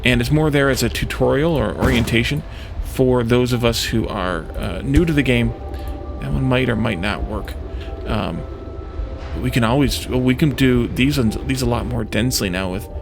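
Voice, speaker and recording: A man's voice: medium pitch, normal speed, gravelly. A man giving casual video game commentary as a let's play host, thinking aloud and musing to himself in short fragments. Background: game music.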